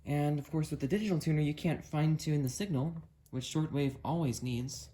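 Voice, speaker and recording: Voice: mid-range voice, normal speed, mellow. A hobbyist talking casually through a hands-on product demo, thinking aloud in a musing, matter-of-fact way. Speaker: amateur video maker, radio hobbyist.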